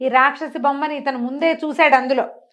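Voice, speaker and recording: A woman's voice: sits high, fairly even intonation, brisk, a bit bright. A woman tells a fantasy folk tale in a conversational way, using rhetorical questions and repetition. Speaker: storyteller on a web show.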